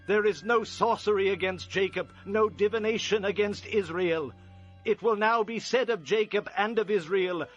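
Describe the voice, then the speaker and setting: An actor playing a solemn, resolute prophet who declaims oracles in a dramatized audio scripture. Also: male voice, high voice, a bit bright. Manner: declamatory, measured poetic delivery. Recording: dull lo-fi audio with music. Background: music.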